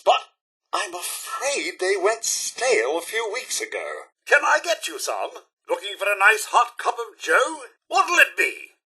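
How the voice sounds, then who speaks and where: An actor playing a polite, fussy robot butler in a video game, with a British accent and a prim, theatrical, deferential manner. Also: male voice, extremely high pitch, sharp tone, slightly rough, heavily acted. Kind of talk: video game companion voice lines.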